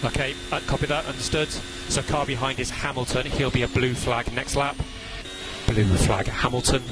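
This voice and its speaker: A man working as a race engineer, giving brisk, clipped updates over team radio. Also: mid-range voice, steady tone, heavy background noise. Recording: radio over loud music.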